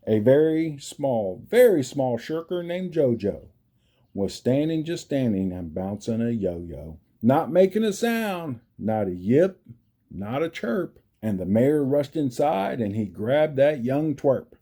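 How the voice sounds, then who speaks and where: A man reading a picture book aloud to children, emphatic, deliberate and theatrical. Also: medium pitch, very animated, warm tone. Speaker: storybook reader for children. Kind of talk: animated read-aloud storytelling.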